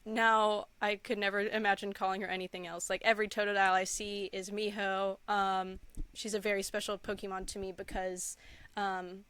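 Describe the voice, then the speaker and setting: A woman's voice: medium pitch, pushed and bright, clear, resonant tone, no room sound. Enthusiastic young woman chatting casually. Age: young adult. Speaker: podcast guest, content creator. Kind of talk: casual chat, personal storytelling.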